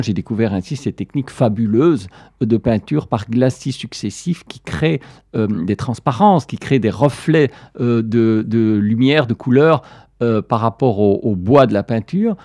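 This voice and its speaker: A man's voice: mid-range voice, animated, moderate pace, warm tone, no room sound, studio quality. An older man from Belgium, a professor, answering interview questions thoughtfully with frequent fillers. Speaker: professor guest.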